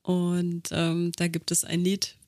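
A woman's voice: fairly low pitch, monotone, slow, darkish tone, no echo, studio quality. A woman co-hosting a podcast in a casual, conversational style. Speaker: podcast co-host and singer. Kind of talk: casual chat.

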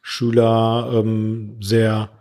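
A man's voice: fairly low pitch, monotone, extremely slow, darkish tone. A man explaining things earnestly in a casual interview, as a teacher and social worker.

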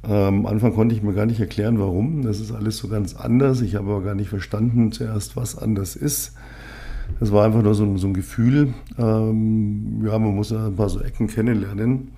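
A man's voice: on the low side, moderate pace. A man, hosting a casual solo podcast and rambling with many fillers. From Munich, Germany. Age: 50s. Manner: rambling, frequent fillers, relaxed. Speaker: podcast host, agency owner.

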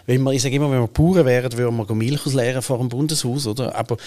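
A man's voice: medium pitch, steady tone, fast, mellow. A man in his forties with a Swiss German accent, chatting emphatically as a podcast guest and often interrupting himself. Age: 40s. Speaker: musician, children's band leader, interview guest.

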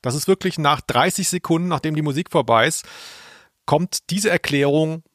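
A man's voice: on the high side, moderate pace, a bit bright, no echo, clean studio sound. A male podcast co-host giving casual, humorous commentary, explaining things with ironic understatement.

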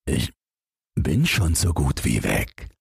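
A man's voice: very deep voice, big pitch swings, slow, creaky, slightly rough, dry recording, clean studio sound, full character voice. A man voicing a naive, yearning snowman in a fairy tale. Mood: failing.

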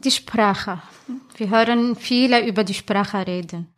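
A woman's voice: medium pitch, measured pace, faint music behind. A woman interviewer putting prepared questions in careful, formal phrasing.

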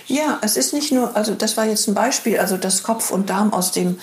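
A woman's voice: medium pitch, fairly quick. Earnest woman in her sixties musing. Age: 60s. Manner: earnest, musing, many hesitant fillers. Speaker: podcast guest, body therapist. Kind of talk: reflective conversational interview. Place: lives in Hamburg.

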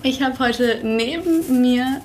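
Higher-pitched woman hosting a podcast. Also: slow. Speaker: podcast host. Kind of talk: conversational podcast interview.

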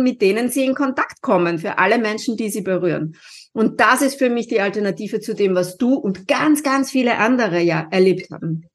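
A woman's voice: mid-range voice, husky. A woman from Austria with an Austrian German accent, hosting a podcast interview in an encouraging, emphatic way. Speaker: podcast host. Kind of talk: conversational interview.

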